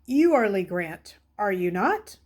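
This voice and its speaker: A woman's voice: medium pitch, big pitch swings, measured pace, a little mellow. A woman voicing a stern, brusque male history teacher who speaks in formal, curt commands.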